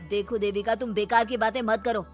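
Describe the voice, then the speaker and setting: A woman's voice: mid-range voice, steady tone, a little fast, faint music behind, lightly acted. A woman voicing a young husband who speaks in a stern, exasperated way in a dramatised moral story. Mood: stern, admonishing. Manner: admonishing, exasperated. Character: young husband, stern but torn, placating his father.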